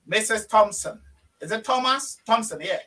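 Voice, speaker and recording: A Ghanaian man hosts a relaxed online interview in a bright, fairly high voice. He talks unhurriedly, pausing often with fillers. He sits a little back from the microphone. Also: distant mic. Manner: warm, slow, hesitant with many fillers. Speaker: host. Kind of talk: casual video interview.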